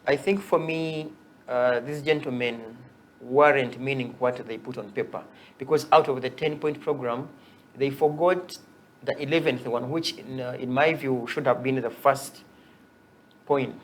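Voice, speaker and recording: A man's voice: mid-range voice, a little slow, a little hiss or hum. A young man with a Ugandan accent, an activist guest on a talk show, who makes wry jokes as he speaks. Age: young adult. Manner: wry and joking, laughs at his own points.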